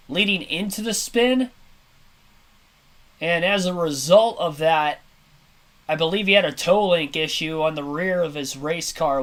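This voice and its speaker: A man with a hoarse, slightly nasal and raspy voice from sinus congestion, giving energetic, chatty play-by-play commentary on a race from a home streaming setup. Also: on the high side. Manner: energetic, chatty, self-correcting. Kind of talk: amateur play-by-play commentary over a race broadcast.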